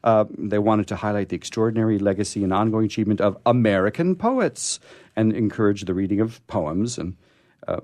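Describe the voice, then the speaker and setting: A man's voice: lowish voice, a little mellow, dry recording, clean studio sound. A man hosting a radio show in casual, unscripted talk, stammering and restarting often, with a sore throat. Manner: halting, often stammering and restarting mid-phrase.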